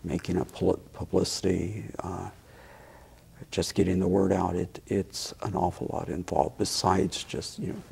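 An older man with a deep, gravelly voice that is very rough and breathy. He talks as a guest in a studio interview. His phrasing is halting and hesitant. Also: little pitch movement, darkish tone. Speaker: theater producer, talk show guest. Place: small TV studio, indoors. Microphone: lapel mic.

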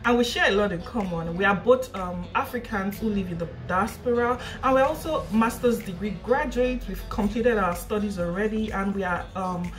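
A woman's voice: mid-range voice, normal speed, few pauses, soft background music. A young woman from Nigeria hosting her channel, chatting casually and laughing often, recorded indoors in a living room by a camera a short way off. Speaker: channel host. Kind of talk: casual chat.

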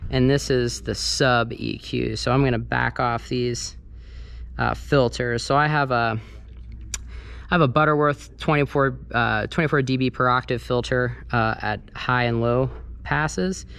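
A man's voice: mid-range voice, steady tone, unhurried, fairly bright tone. A man giving a casual, hands-on tutorial as a sound engineer, talking informally while he works. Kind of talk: casual first-person tutorial walkthrough. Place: large carpeted banquet hall with wooden dance floor. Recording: noisy room ambience, clearly audible. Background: room noise and ambience.

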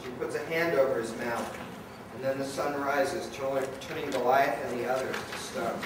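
A man's voice: mid-range voice, steady tone, moderate pace. A man reading dramatic scripted narration as the narrator of a live radio play. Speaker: narrator and director. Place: event hall before an audience. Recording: very echoey, distant, noisy live hall.

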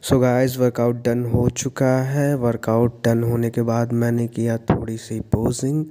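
A man's voice: medium pitch, a little slow, over loud music. A young man talking to camera on a lapel mic in a small room, a fitness vlogger chatting casually and asking viewers to like and subscribe. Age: young adult. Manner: steady, flat delivery with repeated calls to like and subscribe. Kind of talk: casual vlog talk to camera.

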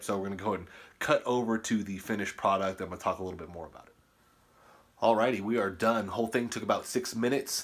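A man's voice: lowish voice, slightly rough. A man in his thirties, a personal trainer, talking casually into a phone held at arm's length in his home kitchen, often checking in with "right?" and "okay?". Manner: chatty, explanatory, tags lines with "right?" and "okay?". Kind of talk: casual cooking and nutrition vlog. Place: small home kitchen indoors. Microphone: phone camera held at arm's length.

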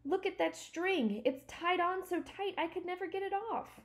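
A woman's voice: extremely high pitch, moderate pace, pitched above the performer's own voice. A woman voicing a tiny, fussy male storybook adventurer who talks formally and with desperate, dejected drama. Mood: bitter. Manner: trembling, desperate, formal phrasing.

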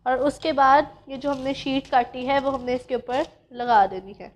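A woman's voice: high voice, moderate pace. A woman from Pakistan, patiently explaining a recipe step by step as a cooking channel host. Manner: patient, instructive, addresses viewers directly.

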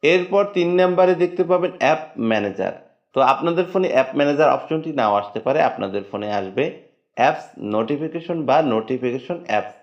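A man narrating a step-by-step phone settings tutorial in a plain, steady instructional tone. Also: a little high, fast talker. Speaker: tech tutorial host. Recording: dull lo-fi voice-over.

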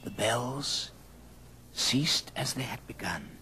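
A man narrating a story dramatically, with deliberate pauses, in old radio drama style. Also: mid-range voice, animated, pushed and bright, a little hiss or hum.

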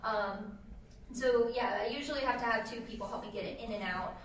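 A woman on a panel talks about her droid, relaxed and halting, with many ums. Her voice is somewhat high. It echoes heavily through a big hall, picked up from far off with noise behind it. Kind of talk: convention panel talk. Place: large convention hall panel room. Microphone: table mic recorded by a far camera in the audience.

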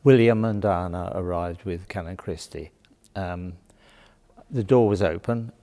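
A man's voice: sits low, a little slow, dark tone, in a large hall, faint music behind. A man recalling an arrival, with hesitant fillers. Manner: reminiscing with hesitations.

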